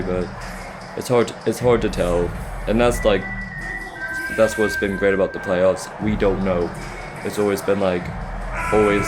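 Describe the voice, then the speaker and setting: A man chatting casually as a gaming host, talking through basketball in a rambling, hesitant way. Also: low-pitched. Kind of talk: casual chat commentary over basketball game. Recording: loud crowd roar behind the voice. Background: basketball video game crowd noise.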